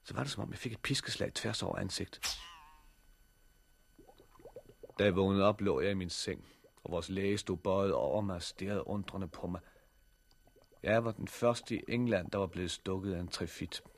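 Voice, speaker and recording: An actor playing an earnest, curious teenage boy who loves botany, in 1960s radio drama style, with a husky voice. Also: low voice. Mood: reflective narrating.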